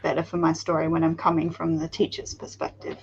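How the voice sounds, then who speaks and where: A woman's voice: low voice, completely flat delivery, brisk, gravelly, dull audio, slight background noise. A woman writer and podcast host chatting casually, giving short encouraging replies and talking about her own novel. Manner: brief, encouraging responses. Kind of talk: casual interview chat.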